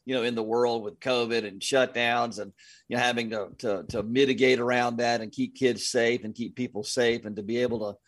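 A man with a sports announcer's background chats as an interview guest. His voice is mid-pitched, and the sound is dry with very little room in it. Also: steady tone, a little fast, no room sound.

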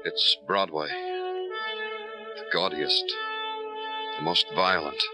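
World-weary city cop for radio crime drama. Deep, sweeping intonation, poetic, brooding. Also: male voice, huge pitch swings, extremely slow, over loud music, full character voice. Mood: brooding, wistful. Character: world-weary male big-city police detective. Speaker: radio drama lead and narrator. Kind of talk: 1940s-50s radio noir acting and narration.

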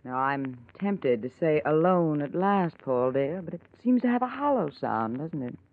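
Cool, sardonic schemer on tinny vintage radio. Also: female voice, sits low, big pitch swings, moderate pace, a little hiss or hum. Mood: cool, taunting. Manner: taunting, mock-endearing, theatrical. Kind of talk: old-time radio drama acting. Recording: band-limited, tinny vintage radio recording.

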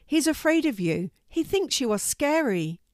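A woman voicing a calm, kindly male donkey in a children's story, unhurried and reassuring. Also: high-pitched, no echo, studio quality, pitched above the performer's own voice.

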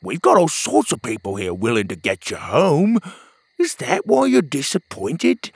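A man's voice: a little high, huge pitch swings, a little slow, husky, no room sound, voice pushed up, full character voice. A man doing a cheery lad who lisps his r's. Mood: teasing. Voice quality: turns r into w. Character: cheerful young male friend with an r-to-w lisp. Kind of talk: storybook character voice.

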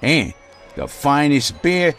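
An actor playing a bumbling, folksy emcee who stammers and rambles in a comic old-time radio style. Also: male voice, a little high, big pitch swings, a bit bright, faint music behind, exaggerated voice. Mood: rambling, folksy. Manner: halting, rambling, stammering, clears throat. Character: bumbling folksy male emcee rambling through town legend.

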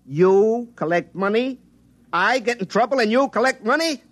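An actor playing an excitable, folksy small-town phone repairman who tells his own story in a classic radio drama, wry and easily flustered. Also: high voice, moderate pace, no echo. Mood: indignant. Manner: folksy, wry narration turning to flustered, stammering pleading. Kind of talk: classic radio drama acting with first-person narration. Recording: vintage radio recording, faint music.

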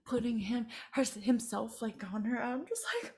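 A young woman from Macau, co-hosting a podcast and telling stories in a lively casual chat, laughing a lot. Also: on the high side, moderate pace. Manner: animated storytelling, laughs a lot. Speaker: podcast co-host.

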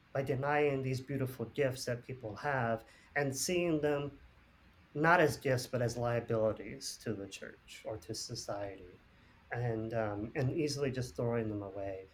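Guy talking earnestly about faith, lots of ums, faint ambient noise behind. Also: medium pitch. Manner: earnest, thoughtful, many filler pauses. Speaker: discussion panelist. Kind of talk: reflective spiritual discussion.

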